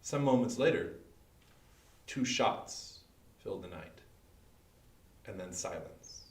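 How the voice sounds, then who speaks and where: A man telling a horror folktale dramatically, deliberate and suspenseful, with long pauses. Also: fairly low pitch, lively intonation. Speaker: storyteller. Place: indoor room, live online performance. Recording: echoey room, distant microphone.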